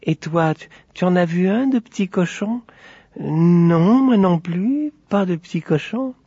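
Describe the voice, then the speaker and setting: A man's voice: high voice, lively intonation, a little slow, warm tone, breathy edge, dry recording, dull audio, pitched above the performer's own voice, exaggerated voice. A man voicing timid, guilty little girls in a children's story, with a childish, hesitant manner. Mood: timid, evasive.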